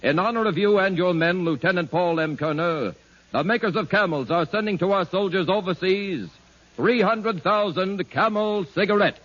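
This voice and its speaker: A man's voice: high voice, moderate pace, fairly bright tone. A man reading a scripted announcement in 1940s radio style. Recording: muffled 1940s radio recording.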